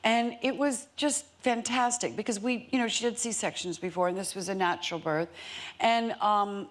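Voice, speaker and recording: Chatty older woman telling a story. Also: lowish voice. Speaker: guest. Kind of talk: casual storytelling.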